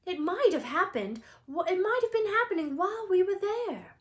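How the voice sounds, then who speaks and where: A woman's voice: very high-pitched, big pitch swings, moderate pace, fairly bright tone, pitched above the performer's own voice, exaggerated voice. A woman voicing an earnest young schoolboy with emphatic delivery in a storybook reading. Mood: urgent, puzzled. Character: earnest eleven-year-old schoolboy.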